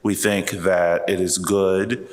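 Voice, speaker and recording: A man speaking on a conference panel, measured and hesitant with frequent fillers. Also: low-pitched, little pitch movement. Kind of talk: panel discussion remarks. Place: conference hall. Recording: reverberant conference hall.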